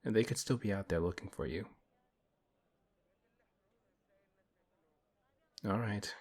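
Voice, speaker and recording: Man acting as a deep, husky, principled paladin. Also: a little mellow, natural voice. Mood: sincere, gentle. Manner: measured, earnest, hesitant pauses. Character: big strong male paladin, principled, gently protective. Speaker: audio roleplay performer. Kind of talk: fantasy audio roleplay, one-sided intimate conversation.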